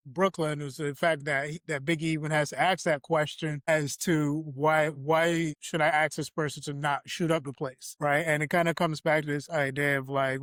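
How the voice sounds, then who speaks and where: A podcast host from Barbados, telling a personal story in a reflective way with frequent fillers. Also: male voice, on the high side, a bit bright, clean tone, no room sound, studio quality. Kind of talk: conversational interview.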